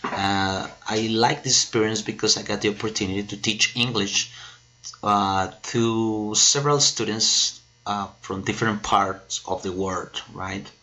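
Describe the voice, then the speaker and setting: A man's voice: on the low side, steady tone, unhurried, pushed and bright, poor audio. A man in his thirties from Colombia, a native Spanish speaker with a Colombian accent, presenting himself as an English teacher in a hesitant, explanatory way. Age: 30s. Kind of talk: self-introduction presentation to camera.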